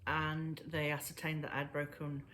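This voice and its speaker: A woman chatting casually to camera about her knitting, a little breathless and wheezy, pausing often as she talks. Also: sits low, flat delivery, fairly bright tone, slight background noise. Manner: halting, pauses often. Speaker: knitting vlogger. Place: at home indoors.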